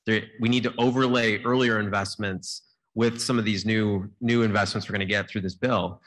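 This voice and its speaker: A man's voice: on the low side, normal speed, poor audio. A man in his thirties on a conference panel, talking casually and energetically into a handheld mic. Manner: casual, energetic, uses "like" often. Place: conference stage. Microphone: handheld microphone.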